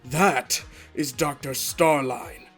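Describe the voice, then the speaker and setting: A man's voice: mid-range voice, slow pace, fairly bright tone, soft background music. A man playing a ferocious, iron-willed monster villain in a comic dub, with a formal, scornful manner. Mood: scornful, suspicious.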